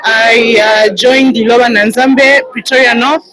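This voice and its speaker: A woman's voice: fairly high pitch, steady tone, a little slow, music in the background, low-quality recording. A woman with a Zambian accent giving a spontaneous testimony as a church member. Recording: live church, crowd audible behind.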